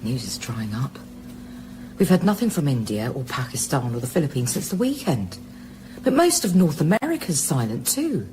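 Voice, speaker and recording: Tired thoughtful female reporter, radio drama, expressive pitch, noisy ambience behind. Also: normal speed. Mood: weary. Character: weary, reflective female news journalist. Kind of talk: naturalistic radio drama acting. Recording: clear background ambience noise.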